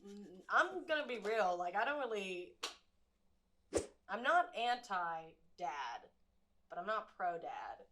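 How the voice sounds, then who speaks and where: A woman playing in a tabletop game, her voice bright and sharp. She speaks in character with dry, cocky confidence. The talk is casual and unhurried. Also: medium pitch, very animated, a little hiss or hum. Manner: dry, self-assured, casual. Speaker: player.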